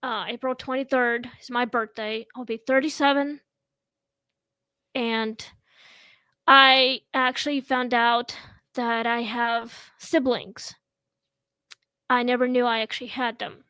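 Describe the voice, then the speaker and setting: A woman in her thirties from Russia, hosting a casual home chat show and talking in a slow, halting way with many pauses. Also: fairly high pitch, little pitch movement, sharp tone, clear, resonant tone, no room sound. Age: 30s. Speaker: host.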